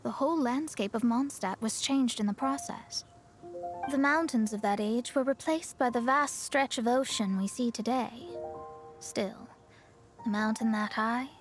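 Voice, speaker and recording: A woman's voice: on the high side, close to an everyday voice. A woman playing a proud, self-assured young female astrologist in a video game, speaking in a haughty, articulate, explanatory way. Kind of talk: video game character acting. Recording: music behind the voice.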